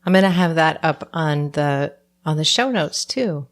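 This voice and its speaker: A woman's voice: low voice, expressive, a little slow, no room sound. A woman hosting a podcast, affirming her guest and chatting through a short solo segment. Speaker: podcast host.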